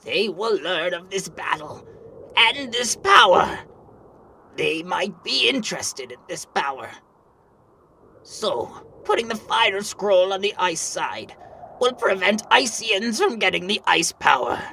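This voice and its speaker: A solemn cartoon fantasy guardian, acted by a man, bright and gravelly. The grave speech is slow and declaimed, with wide melodic swings. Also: sits high, expressive, slight background noise, full character voice. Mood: explaining gravely. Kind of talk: animated fantasy drama.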